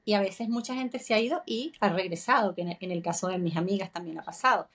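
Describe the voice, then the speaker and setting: Woman, forties, Venezuelan Spanish accent, husky breathy voice, reflective podcast co-host. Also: mid-range voice, fast talker, a bit bright. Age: 40s. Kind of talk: casual chat.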